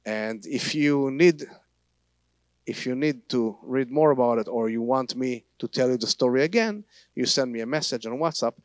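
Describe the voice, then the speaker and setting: Israeli guest speaker, Hebrew accent, mid pitch, dry close sound, halting phrasing with restarts. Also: male voice, lively intonation, no room sound. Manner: halting, non-native grammar with frequent restarts. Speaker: guest speaker, tour guide. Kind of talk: church teaching talk. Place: church.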